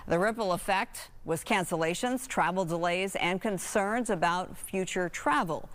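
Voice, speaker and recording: A woman's voice: fairly low pitch, normal speed. An American woman anchoring a live TV news interview, asking questions in a conversational way.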